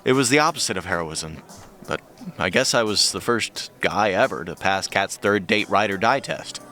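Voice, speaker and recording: Exasperated young American guy, wry, incredulous, bright edgy tone, audio drama. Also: on the low side, expressive, moderate pace. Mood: wry, subdued. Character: anxious, exasperated ordinary guy, wry and sarcastic.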